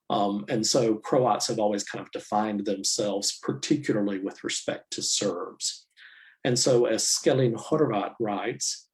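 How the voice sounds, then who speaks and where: A middle-aged man, a university professor, giving a public lecture in a measured, explanatory way with frequent fillers. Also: lowish voice, a little mellow, poor audio. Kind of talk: academic lecture.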